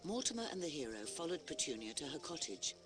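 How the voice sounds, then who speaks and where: An actor playing a storybook narrator in a video game, telling a heroic fable in a measured, dramatic way. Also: male voice, fairly high pitch, very animated. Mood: fond, storybook.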